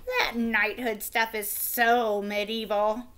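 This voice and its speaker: A woman voicing a bored, cheeky young prince in a flat, grumbling tone. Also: on the high side, takes it slowly.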